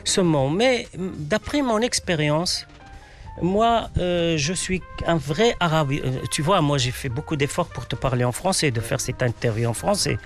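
A man from Tangier with a Moroccan accent and Arabic as his first language, answering interview questions in a halting way, often restarting and searching for words. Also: on the high side, soft background music. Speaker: interview guest.

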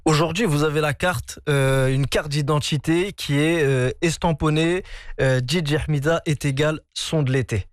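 A man hosting a radio show and interviewing a guest in the studio, talking in a lively, emphatic way with many fillers. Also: a little high, moderate pace, dry recording. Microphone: studio microphone.

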